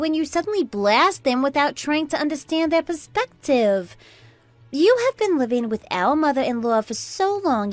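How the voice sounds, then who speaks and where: A woman voices a catty, boastful sister-in-law for an audio drama. Her voice sits high and animated, preachy and smug. It is recorded close and dry, with music behind it. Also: no echo. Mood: preachy, scolding. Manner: animated, preachy, boastful, swooping into indignant questions. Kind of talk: scripted radio drama dialogue.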